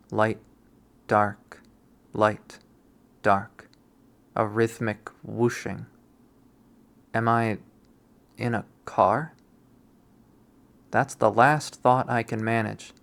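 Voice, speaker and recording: A man's voice: fairly low pitch, vocal fry, a bit bright. A young man reading a visual novel aloud in first person, with a dry, wry delivery.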